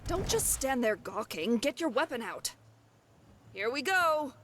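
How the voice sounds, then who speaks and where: A woman's voice: high voice, sing-song, unhurried, noisy background. A woman playing a glib, evasive thief companion in a fantasy video game, talking in a wry, coy way. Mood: urgent. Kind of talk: scripted video game dialogue.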